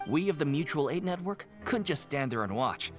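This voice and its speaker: An actor playing a friendly, earnest prisoner who explains things as a recruiter in a video game. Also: male voice, fairly high pitch, sing-song, moderate pace, soft background music. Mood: proud.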